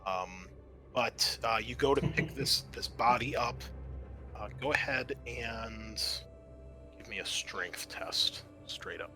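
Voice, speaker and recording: Bright-voiced man narrating a tabletop game. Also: mid-range voice, faint music behind. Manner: conversational, improvised, halting narration. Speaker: game master.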